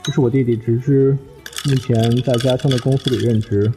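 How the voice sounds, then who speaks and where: A man's voice: mid-range voice, steady tone, a little fast, noisy background, natural voice. An actor playing a courteous, solemn middle-aged man receiving guests in a radio drama. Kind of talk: Chinese radio drama acting.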